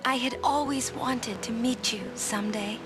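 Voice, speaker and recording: An actress playing a gentle, resolute young summoner woman in a video game, speaking in measured, earnest, halting phrases. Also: on the high side, close to an everyday voice. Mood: earnest, grateful. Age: young adult. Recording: game dialogue under music.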